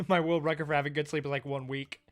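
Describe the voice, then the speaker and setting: A man live-streaming a casual, excitable reaction read-through, swearing freely as he chats with viewers. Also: a little high, fast, soft background music. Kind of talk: casual livestream reaction chat while reading manga.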